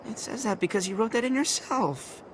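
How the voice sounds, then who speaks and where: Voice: medium pitch, fairly quick, a little hiss or hum, close to an everyday voice. An actor voicing a timid, earnest, bumbling small male creature in a video game, with an American accent and a hesitant, nervous way of talking. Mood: flustered. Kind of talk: cartoonish video game character acting. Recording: loud game music behind. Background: game music.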